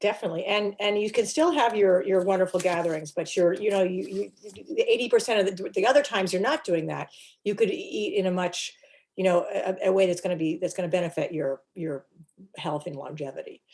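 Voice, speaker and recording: A woman health coach explaining nutrition in a relaxed interview, with many restarts. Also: on the low side, fairly quick. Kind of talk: casual interview talk.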